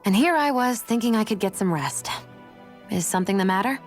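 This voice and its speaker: A woman voicing an aloof, sharp-tongued young healer in a video game. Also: medium pitch, lively intonation, fairly quick, faint music behind, close to an everyday voice. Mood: dry, aloof.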